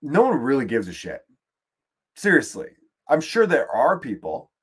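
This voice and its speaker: A man's voice: medium pitch, huge pitch swings, normal speed, breathy edge. A man with a Chicago accent, hosting a podcast and delivering a profane, exasperated, rambling comedic rant. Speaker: podcast host.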